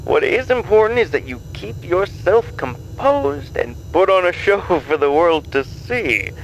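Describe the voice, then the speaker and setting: A smug audio-drama schemer, acted by a man, with a slightly high, swooping voice. He drawls slowly and condescendingly, like he has everything planned. There is some background noise. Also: big pitch swings, exaggerated voice, poor audio. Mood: smugly amused. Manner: slow, condescending, chuckling. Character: smug scheming male mastermind, patronizing and chuckling.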